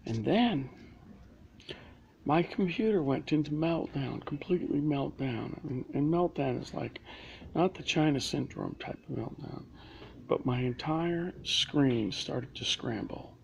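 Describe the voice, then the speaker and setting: A man's voice: fairly high pitch, expressive, a little slow, gravelly, a little mellow, dull audio, slight background noise. A man rambling through a live stream from inside a public library, full of restarts and asides. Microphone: phone or laptop camera.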